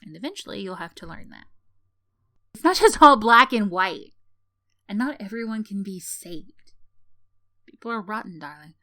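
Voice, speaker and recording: Woman voicing a mocking female villain, tsundere, condescending, secretly caring, medium-pitched, dry intimate sound, ASMR roleplay. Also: expressive, moderate pace, long pauses, sharp tone, dry recording. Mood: condescending, incredulous. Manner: teasing, condescending, theatrical sighs and scoffs.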